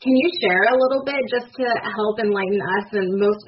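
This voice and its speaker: A woman co-hosts a podcast and gropes her way through questions, hesitating as she goes. Her voice is mid-pitched, and the audio is dull and lo-fi. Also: brisk, breathy edge. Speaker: podcast co-host. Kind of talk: hosting a podcast interview.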